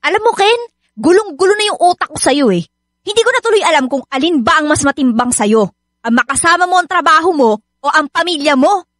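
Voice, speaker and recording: A woman's voice: high voice, lively intonation, brisk, fairly bright tone, no echo, clean studio sound. A Filipino-accented woman acting in a radio drama as a hurt, suspicious young wife and mother who keeps insisting. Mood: confrontational, hurt.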